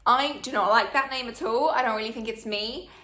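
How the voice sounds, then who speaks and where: A woman's voice: on the high side, brisk, sharp tone, echoey room. A woman, chatting through a Q&A for her channel with plenty of fillers. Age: 20s. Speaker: vlogger. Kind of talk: casual Q&A chat.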